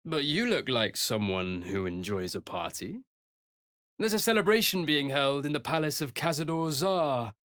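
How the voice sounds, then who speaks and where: An actor playing a quietly predatory male vampire spawn in a video game, with measured, understated delivery. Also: fairly high pitch, big pitch swings, no echo, clean studio sound. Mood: cool, sly. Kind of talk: naturalistic video game acting.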